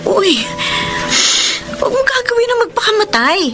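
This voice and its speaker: Radio drama: worried woman urgently pleading, high and animated pitch, music behind. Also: moderate pace. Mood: anxious pleading. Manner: urgent, imploring. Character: Astrid, concerned, pleading woman consoling a despairing man. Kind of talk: Filipino radio drama acting.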